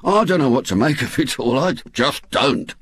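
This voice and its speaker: A man's voice: mid-range voice, animated, a bit bright, breathy edge. A man doing bewildered plodding husband. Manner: slow, plodding, ungrammatical. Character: bewildered plain-spoken husband, slow and helpless.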